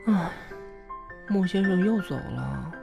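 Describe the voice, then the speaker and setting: Music plays behind a woman acting out a bashful young cherry tomato, hopelessly in love. She gushes and swoons with very animated intonation. It is cute, smitten audio drama acting. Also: fairly low pitch, slow pace, gravelly, exaggerated voice. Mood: wistful. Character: lovestruck shy young female cherry tomato.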